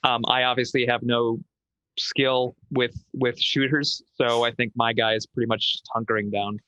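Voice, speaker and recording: A man's voice: mid-range voice, little pitch movement, normal speed, bright, forceful tone, dry recording, low-quality recording. A man playing a tabletop roleplaying game, chatting casually and tentatively as he thinks aloud.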